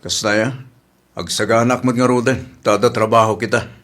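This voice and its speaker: A man's voice: mid-range voice, fairly even intonation, moderate pace, a bit bright, lightly acted. A Filipino-accented man playing a stern, matter-of-fact man of the house in a comedy drama. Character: reserved, no-nonsense male employer, man of the house. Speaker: actor.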